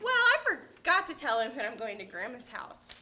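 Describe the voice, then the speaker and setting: A woman's voice: fairly high pitch, wildly swooping pitch, moderate pace, pushed and bright, exaggerated voice. A woman playing a whiny, stubborn, dramatic teenage daughter in an amateur Christmas radio skit. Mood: pleading. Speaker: radio play actor.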